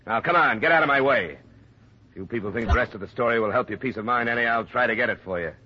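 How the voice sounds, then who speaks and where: An actor playing a hard-boiled, level-headed investigator in a 1950s radio drama, terse, firm and dry. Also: male voice, medium pitch, fast, husky, lightly acted. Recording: muffled, noisy old radio recording.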